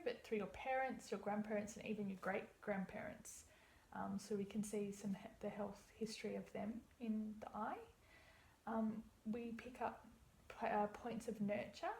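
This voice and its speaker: Young woman, slightly gravelly, calm practitioner walking a client through an eye exam; echoey room with faint hum. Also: mid-range voice. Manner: explains step by step, reassuring. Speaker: iridology practitioner. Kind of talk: practice consultation.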